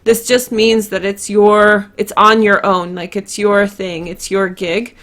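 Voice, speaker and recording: A woman's voice: medium pitch, level tone, slow pace, a bit bright. A woman hosting a tarot reading, talking casually and informally straight to her viewers as she goes. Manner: chatty, informal, addresses viewers directly. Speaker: tarot card reader, host. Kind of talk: casual unscripted card-reading commentary to viewers.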